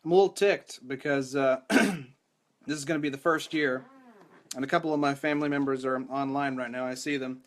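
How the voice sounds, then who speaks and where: A young man hosting a live podcast stream, his voice hoarse and rattly, chatting casually and rambling with lots of restarts and self-deprecating asides. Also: medium pitch, fairly even intonation, moderate pace. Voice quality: rattly, strained voice. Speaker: podcast host. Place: home room with mic. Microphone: studio microphone.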